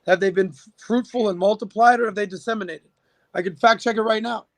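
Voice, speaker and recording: A man's voice: high-pitched, fairly quick, no room sound. A man in a casual podcast chat, giving firm opinions backed by figures, with lots of fillers and restarts. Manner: opinionated, argues with numbers, many fillers. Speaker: podcast guest.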